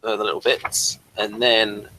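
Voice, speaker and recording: Bright-voiced man, halting and rambling. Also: on the low side, little pitch movement, a little hiss or hum, low-quality recording. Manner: halting, rambling, repeats and restarts phrases. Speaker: podcast co-host. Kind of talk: casual fan discussion with behind-the-scenes trivia.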